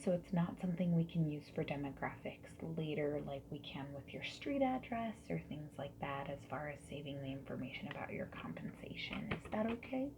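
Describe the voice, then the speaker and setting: A woman speaking softly and breathily, often dropping to a whisper, in a gentle, friendly ASMR survey-interviewer roleplay. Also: low-pitched, lively intonation. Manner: gentle, friendly, unhurried customer-service politeness. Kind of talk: soft-spoken ASMR roleplay. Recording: lo-fi, noisy with keyboard typing and clicks. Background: mechanical keyboard typing and clicks.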